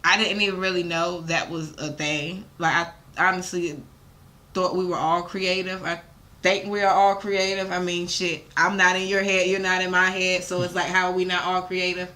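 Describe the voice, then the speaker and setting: A woman's voice: on the low side, level tone, moderate pace, pushed and bright. A young American woman talking candidly as an interview guest, informal and emphatic with slangy, salty language. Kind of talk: casual candid interview talk.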